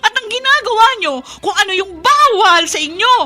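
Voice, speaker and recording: A woman's voice: very high-pitched, lively intonation, normal speed, pushed and bright, heavily acted. A woman with a Filipino accent playing a weary, devoted daughter in a radio drama, with a pleading, long-suffering manner. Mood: tearful pleading. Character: weary, devoted middle-aged daughter caring for her father.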